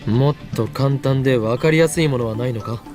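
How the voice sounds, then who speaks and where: An actor playing a theatrical, commanding young self-styled mad scientist in a Japanese visual novel, pompous and declarative. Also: male voice, mid-range voice, racing, music in the background, lightly acted. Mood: commanding, scheming.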